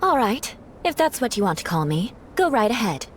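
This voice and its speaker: An actress playing a poised, aloof noble knight captain in a video game, with cool, dry, formal diction. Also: female voice, on the high side, sing-song, moderate pace, background noise. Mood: restrained surprise.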